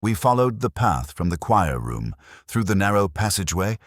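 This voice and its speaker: A man narrating a scripted first-person detective mystery in a measured, formal, literary manner. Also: lowish voice, sing-song, no echo, clean studio sound.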